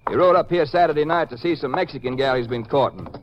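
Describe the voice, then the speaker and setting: Man doing a folksy texas ranger on the trail, highish voice, muffled old radio show. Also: quick pace, natural voice. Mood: explaining. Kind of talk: 1950s western radio drama acting. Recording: muffled vintage radio recording, faint noise.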